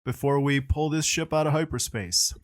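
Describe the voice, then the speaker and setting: A man's voice: fairly high pitch, normal speed. A man hosting a podcast in a casual, chatty style. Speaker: podcast host.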